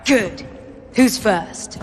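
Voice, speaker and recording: An actress playing a stern, rousing military commander of recruits in a video game, measured and solemn. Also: female voice, medium pitch, over a noisy crowd, heavy background noise. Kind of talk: video game cutscene acting. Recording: loud music over the voice.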